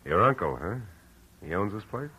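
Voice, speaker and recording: An actor playing a cool, wary private detective in a laconic, dry 1940s radio style, on an old radio recording. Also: male voice, low-pitched, big pitch swings, normal speed, background noise. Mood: casual probing. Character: cool, wary, wisecracking private detective posing as stranded traveler. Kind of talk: hard-boiled 1940s radio acting.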